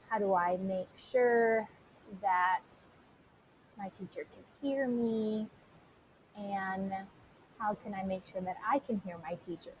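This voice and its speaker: A woman with a mid-pitched voice speaks slowly as a teacher walking students through an on-screen tutorial. She is informal and instructional, addressing listeners directly step by step. A faint noise and low rumble sit behind her voice. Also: darkish tone, poor audio.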